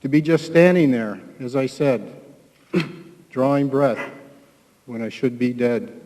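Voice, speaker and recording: A man, a military veteran, reads his own poem solemnly in short, measured phrases. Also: medium pitch, mellow. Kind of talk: solemn poetry reading. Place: large hall at a public ceremony. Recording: echoey hall with long reverb.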